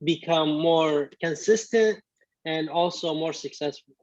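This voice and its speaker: A man in his twenties, born in Iraq with Arabic as his first language, telling his story as a guest in a casual interview, halting with many restarts. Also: on the high side, a little mellow, no room sound, muffled recording. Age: 20s. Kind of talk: casual video-call interview.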